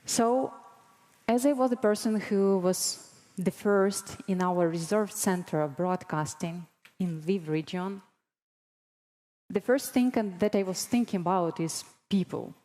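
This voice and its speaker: A woman in her thirties with a Ukrainian accent, giving an earnest, deliberate prepared presentation as a guest speaker. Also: medium pitch, dark tone. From Mariupol, Ukraine. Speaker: guest speaker, broadcast board member. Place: large conference hall, on stage. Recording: long hall reverb.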